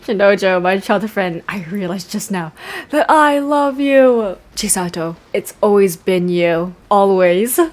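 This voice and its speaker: A woman's voice: medium pitch, lively intonation, unhurried, natural voice. A woman putting on a melodramatic, lovesick young sweetheart in mock romantic drama, overwrought and swooning. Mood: tearful, lovestruck.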